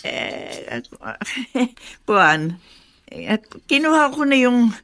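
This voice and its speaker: A woman's voice: on the high side, big pitch swings, very slow, a bit bright, full character voice. An actress playing a chatty, evasive older Filipino mother of a grown son in a radio drama. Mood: sheepish.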